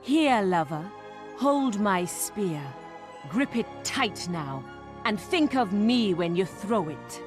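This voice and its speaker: A woman's voice: mid-range voice, big pitch swings, slow pace, music in the background. An actress playing a bold, flirtatious, domineering warrior queen in a video game, seductive and teasing. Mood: sultry. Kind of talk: video game character acting.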